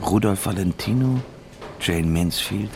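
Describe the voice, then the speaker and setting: Deep-voiced, wary private detective. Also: male voice, a little slow, a little mellow, slight background noise. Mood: suspicious, reflective. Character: wary, world-weary male private detective in space. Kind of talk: noir radio drama narration.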